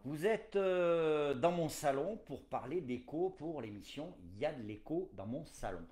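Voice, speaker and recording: A man's voice: a little high, a little slow. Guy hosting a live chat show, loose and chatty, lots of ums. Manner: relaxed, chatty, many fillers, playful asides. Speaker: host. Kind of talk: casual live interview show.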